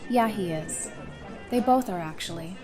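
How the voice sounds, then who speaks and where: A woman's voice: lowish voice, moderate pace, over music, close to an everyday voice. A woman acting a friendly, easygoing wife and mother in an audio drama, casual and playful. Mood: playful, affectionate.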